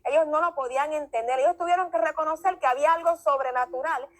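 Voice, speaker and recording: High-voiced woman giving fervent testimony. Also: fast. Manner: emphatic, repetitive, preacher-like. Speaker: guest giving religious testimony.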